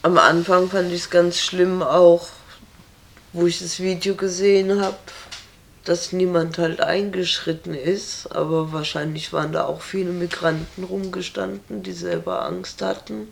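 A woman's voice: on the low side, measured pace, gravelly, slight background noise. A woman answering interview questions in a blunt, firm and direct way.

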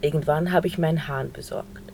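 A woman's voice: low voice, steady tone, fairly quick. A woman narrating a scripted literary audio play, telling it unhurriedly in short phrases. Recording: noisy recording with clear background noise.

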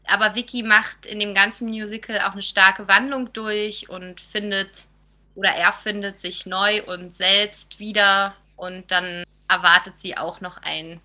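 A woman's voice: medium pitch, fairly even intonation, a little slow, bright, forceful tone. A woman performer talking informally in a group interview about a production. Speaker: musical performer.

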